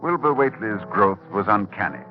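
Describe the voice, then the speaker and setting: An actor playing a grave, urgent professor who narrates a horror tale in formal, solemn tones in a 1940s radio drama. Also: male voice, fairly low pitch, expressive, a little fast, soft background music, close to an everyday voice. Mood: ominous. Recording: muffled vintage 1940s radio recording.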